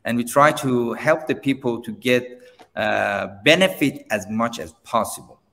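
Man, Persian first language, halting non-native English, frequent restarts, interview answers, reverberant space. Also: medium pitch, gravelly. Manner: halting, many restarts, non-native grammar, animated when pressed. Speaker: seminar trainer and company founder.

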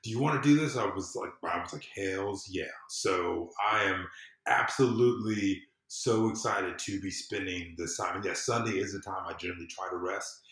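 A man speaks as a podcast guest in a relaxed, casual conversation. His voice sits fairly low, and the recording has a clearly echoey room sound. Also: normal speed. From the American South. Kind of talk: casual chat.

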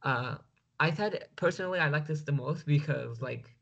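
A man's voice: on the high side, moderate pace, creaky, fairly bright tone, highs cut off. A man from Turkey with a Turkish accent, a guest speaking over a video call, hesitant and full of fillers.

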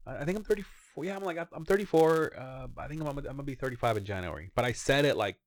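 A man's voice: medium pitch, animated, fast, dry recording. A man in his thirties co-hosting a casual podcast, rambling in an easygoing way and swearing now and then. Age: 30s. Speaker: podcast co-host. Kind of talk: casual chat.

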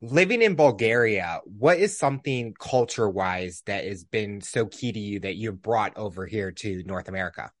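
A man's voice: lowish voice, animated, normal speed, hardly any pauses, no echo, studio quality. A man hosting a podcast, putting interview questions to a guest. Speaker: podcast host.

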